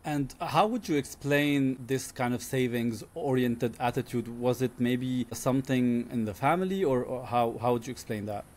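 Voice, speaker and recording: A man's voice: medium pitch, normal speed, few pauses, a little mellow. Man hosting a podcast interview. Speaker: podcast host.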